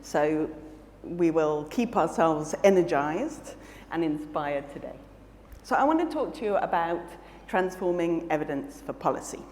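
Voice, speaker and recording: A woman's voice: on the low side, a little mellow. A jet-lagged woman with a mixed British and South African accent, giving an earnest, reflective conference talk full of fillers and restarts. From Malawi. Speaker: keynote speaker. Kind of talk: conference presentation. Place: large conference hall on stage. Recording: echoey hall, faint ambience.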